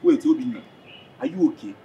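A man's voice: on the high side, wildly swooping pitch, a little fast, vocal fry, mellow, breathy edge, highs cut off, exaggerated voice. An actor with a Nigerian accent playing an indignant, confrontational young man defending his family. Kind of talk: Nollywood film acting.

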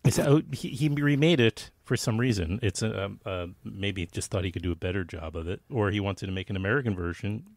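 Gravelly male podcast host, chatting. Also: lowish voice, fairly quick, hardly any pauses, dry recording. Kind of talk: casual chat about old films.

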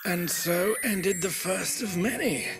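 An actor playing a polite elderly butler who speaks slowly and courteously, in a video game cutscene. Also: male voice, sits high, gravelly, over music, exaggerated voice, rough recording. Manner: slow, courteous.

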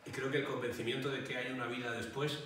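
A man in his forties with an accent from Spain speaks as an interviewed priest. His delivery is measured and explanatory, at a middling pitch. The sound is very reverberant and a bit distant, with faint noise. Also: flat delivery, a bit bright. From Barcelona, Spain. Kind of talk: interview answers, explaining faith. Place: indoor hall with wooden bench. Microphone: small mic held out at arm's length by interviewer.

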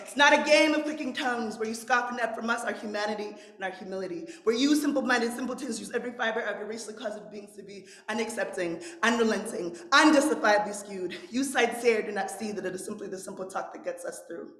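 A young woman from Zimbabwe with a raspy voice, performing spoken word poetry at a lectern microphone in an indoor room with dramatic, rhythmic delivery. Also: on the high side, fast, hardly any pauses, fairly bright tone. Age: young adult. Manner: dramatic, rhythmic declamation with sweeping gestures. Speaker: featured poet. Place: indoor room with brick wall, standing at a lectern. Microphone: stand microphone at the lectern.

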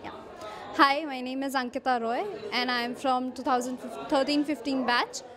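A woman's voice: sits high, fairly even intonation, moderate pace. A young woman giving polite, formal thanks in an alumni testimonial, speaking into a handheld mic. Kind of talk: short spoken testimonial. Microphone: handheld microphone. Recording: crowd chatter behind.

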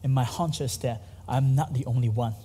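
A man's voice: medium pitch, normal speed, dark tone, a little hiss or hum. Taiwanese man preaching in a hall. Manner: earnest, gesturing while speaking. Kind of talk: sermon. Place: church stage, dark curtains. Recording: long reverb of a large hall.

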